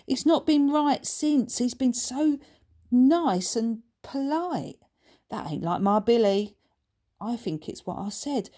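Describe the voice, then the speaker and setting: An excitable mother from a children's storybook, acted out by a woman reader. She gushes in colloquial, ungrammatical speech and fancies herself a grand sorceress. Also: on the high side, slow pace, pitched above the performer's own voice. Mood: confiding.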